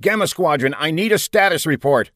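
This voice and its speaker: A man voicing a commanding starship captain who gives curt, forceful battle orders in a science fiction audiobook. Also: on the high side, brisk, no room sound, studio quality, pitched above the performer's own voice, close to an everyday voice. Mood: desperate anger.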